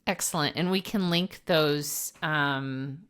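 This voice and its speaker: Woman host on a teaching podcast, real low voice but crisp and bright, keeps recapping what the guest said. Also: slow. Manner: affirming, summarizes the guest's points. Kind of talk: casual podcast interview.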